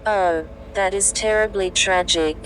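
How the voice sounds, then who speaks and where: A woman playing a train's artificial intelligence in a science fiction audio story, literal, measured and matter-of-fact. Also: mid-range voice, fairly even intonation, natural voice, rough recording. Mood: cool, detached. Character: soft-spoken female train computer, literal and matter-of-fact. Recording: loud background noise.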